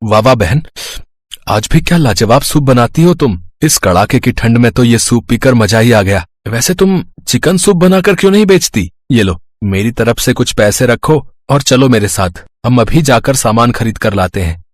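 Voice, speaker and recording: A man playing a warm-hearted village man, reassuring and enthusiastic, in an audio story. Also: medium pitch, expressive, moderate pace, no echo, clean studio sound, natural voice.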